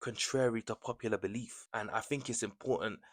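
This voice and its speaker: A man's voice: on the low side, fairly even intonation, a little fast. A young man of Kenyan and Jamaican background giving casual commentary to camera, chatty and conversational, often checking in with tag questions. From Kenyan and Jamaican family. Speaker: vlogger.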